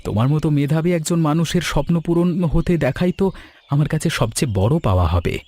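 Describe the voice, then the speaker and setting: A man voicing a kind, generous gentleman customer in a cartoon story, speaking earnestly and with feeling. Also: fairly high pitch, quick pace. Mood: reassuring. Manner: expressive, earnest, caring. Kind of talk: animated cartoon story dialogue.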